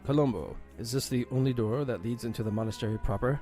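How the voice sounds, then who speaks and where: A man's voice: mid-range voice, fast talker, dark tone, faint music behind, lightly acted. A man voicing a suave, unflappable secret agent with a wry manner in an audio drama. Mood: cool, wry.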